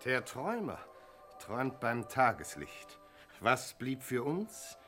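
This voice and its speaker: Pitiful bitter beggar in a poetic radio play, slow and swoopy, faint music. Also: male voice, mid-range voice, huge pitch swings, a bit bright, heavily acted. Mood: plaintive, bitter. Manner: plaintive, declaiming. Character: bitter, pitiful poor man begging in a chorus.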